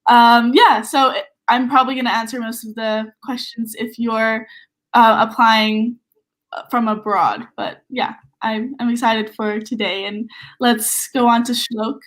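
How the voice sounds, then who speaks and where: A young woman who grew up in Indonesia introduces herself on a video-call panel. Her voice is slightly high, and she speaks in a friendly, casual way. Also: steady tone, unhurried, fairly bright tone. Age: young adult. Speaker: panelist, recent graduate.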